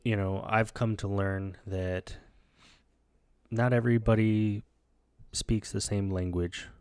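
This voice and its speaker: A man's voice: lowish voice, fairly even intonation, slow pace, a little mellow, clear, resonant tone, no echo, studio quality. A man whose first language is Spanish, co-hosting a podcast in a wry, rambling casual chat. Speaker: podcast co-host.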